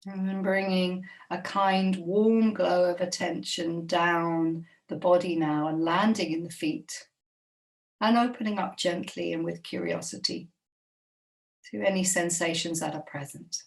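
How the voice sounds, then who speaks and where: A woman guiding a body scan meditation, speaking slowly and gently with long, unhurried pauses. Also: lowish voice, fairly even intonation, far from the mic, poor audio. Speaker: meditation guide.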